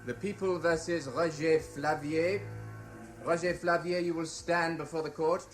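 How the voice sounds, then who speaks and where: An actor playing a formal, officious court clerk reading documents aloud in a radio drama. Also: male voice, a little high, fairly even intonation, unhurried, music in the background, lightly acted. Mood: official, declamatory. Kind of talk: 1970s radio drama acting, formal read-out.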